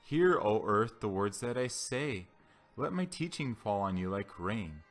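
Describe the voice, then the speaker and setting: A man giving a solemn scripture reading as a Bible reader, with deliberate, declamatory phrasing. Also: on the low side, very animated. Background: background music.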